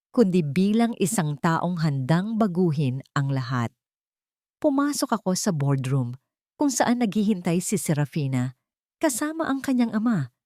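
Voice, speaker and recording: A woman's voice: lowish voice, dark tone, no echo, clean studio sound. A woman narrating a scripted dramatic story.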